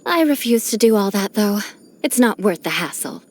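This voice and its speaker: An actress voicing a proud, vengeful young noblewoman knight from a disgraced clan in a video game, with a haughty, measured delivery. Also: mid-range voice, sing-song. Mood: wry, fussy. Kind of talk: scripted video game character voice lines.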